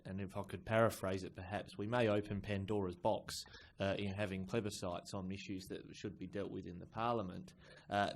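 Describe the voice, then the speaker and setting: Low, even-toned male panellist. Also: slight background noise. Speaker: conference panellist. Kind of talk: political panel discussion. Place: indoor conference session.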